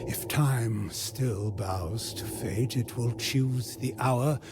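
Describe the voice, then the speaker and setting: An actor playing a haughty, scheming ancient vampire in a gothic video game, speaking in a grandiloquent, disdainful and deliberate way. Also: male voice, mid-range voice, background noise, natural voice. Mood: calm, foreboding.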